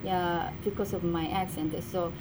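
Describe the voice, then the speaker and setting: A woman from Korea with a Korean accent, a college student answering interview questions in halting English, searching for words with many fillers. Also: lowish voice, fairly even intonation. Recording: noticeable background noise.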